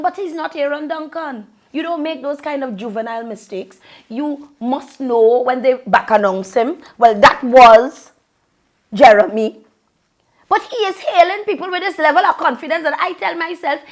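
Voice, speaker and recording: A woman from Trinidad with a Trinidadian accent, ranting bluntly and profanely to camera in Creole-flavored casual talk. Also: high-pitched. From Trinidad and Tobago. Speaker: vlogger and longtime event host.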